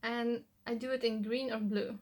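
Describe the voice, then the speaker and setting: Young polish woman vlogging at home, bit high-pitched, rambly and casual, lots of "like". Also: fairly even intonation, normal speed, a little mellow. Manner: chatty, loose, restarts often with "like" and "you know". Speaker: language-learning vlogger. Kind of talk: casual how-to vlog.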